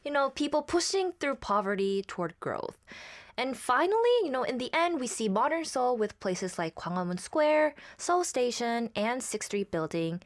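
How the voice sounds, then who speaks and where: A woman's voice: fairly high pitch, sing-song, normal speed. A woman co-hosting a radio show, explaining exhibitions and places in an upbeat, affirming way. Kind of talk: casual radio chat.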